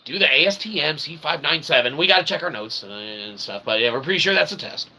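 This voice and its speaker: A man's voice: a little high, animated, brisk, sharp tone. A man delivering an opinionated, rambling solo monologue, emphatic and ranting, often checking in with short tag questions. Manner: emphatic, ranting, peppered with "okay?" and "right?"; casually profane. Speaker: independent author and channel host.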